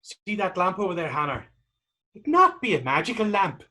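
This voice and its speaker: A man playing a skeptical, down-and-out Irish drifter in a staged period drama reading, husky and sardonic. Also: high voice, big pitch swings, moderate pace, pushed and bright, heavily acted. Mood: skeptical, exasperated. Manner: wry, sardonic. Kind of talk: stage readers-theater dialogue in Irish period dialect.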